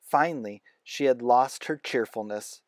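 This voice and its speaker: A man's voice: medium pitch, a little slow, no room sound. A man narrating a children's book as a read-aloud. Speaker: storybook reader.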